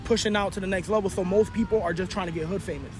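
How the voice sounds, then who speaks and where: A man's voice: sits high, rapid-fire, faint music behind. A young man from Orlando, a rapper guest, talking in a laid-back, slangy way. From Orlando, Florida. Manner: laid-back, slangy, says "you feel me" often. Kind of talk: casual chat.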